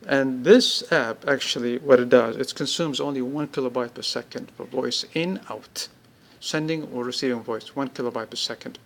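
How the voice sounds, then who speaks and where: A man giving an informal, unscripted product demonstration, speaking haltingly in short pieced-together bursts. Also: mid-range voice, gravelly. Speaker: app demonstrator.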